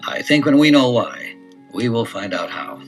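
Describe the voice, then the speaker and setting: An actor playing a calm, authoritative middle-aged detective father in a 1970s children's audio adventure, speaking deliberately. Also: mid-range voice, faint music behind, lightly acted. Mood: focused, encouraging. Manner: deliberate, measured, instructive.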